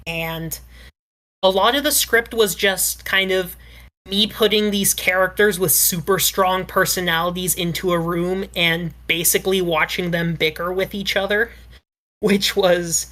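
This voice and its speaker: Woman, very bright forceful tone, slightly low pitch, reflective, casual podcast panelist. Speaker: podcast panelist and script writer.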